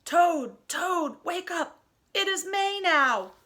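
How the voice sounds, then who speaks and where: A woman voicing a cheerful, eager male frog in a children's storybook, calling out and coaxing excitedly. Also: extremely high pitch, takes it slowly, voice pushed up, full character voice. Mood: urgent.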